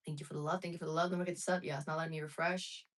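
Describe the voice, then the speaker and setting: Chatty woman livestreaming, lowish voice. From United States. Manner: chatty, frank, answers chat messages as she goes. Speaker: live streamer. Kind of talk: casual live chat with viewers.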